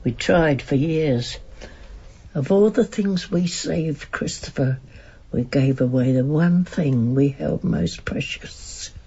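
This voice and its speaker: An actress playing an elderly working-class mother, wistful and devoted, speaking deliberately in a naturalistic radio drama. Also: deep voice, mellow, husky, dull audio, heavily acted. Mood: tender, grieving. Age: older.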